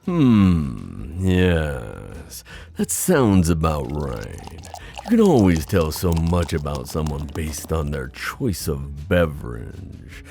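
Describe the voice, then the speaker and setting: A man playing a playful, scheming sea god in an ASMR fantasy roleplay, theatrical and teasing, musing aloud in drawn-out phrases. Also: rumbling low, huge pitch swings, vocal fry, warm tone, faint music behind, heavily acted. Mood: suave, probing.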